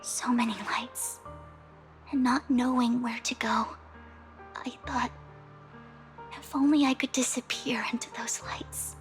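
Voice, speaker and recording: A woman voicing a soft-spoken devoted young woman. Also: on the high side, fairly even intonation, measured pace, long pauses, faint music behind, natural voice. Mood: whispered confession. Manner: hushed, breathy confiding delivery. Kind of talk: video game cutscene dialogue.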